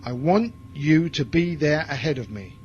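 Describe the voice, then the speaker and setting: An actor playing a hard, bitter military commander in a science fiction audio drama, with a curt, commanding way of talking. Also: male voice, a little high, animated, measured pace, a little mellow, slight background noise. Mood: commanding, grim. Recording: dull lo-fi audio drama recording, music behind.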